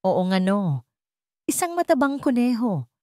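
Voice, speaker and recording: A woman voicing a gentle young nanny in a story, speaking softly and playfully as if to a child. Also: fairly high pitch, very animated, a little slow, dry recording, clean studio sound, voice pushed up. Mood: gently playful. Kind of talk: storybook narration dialogue.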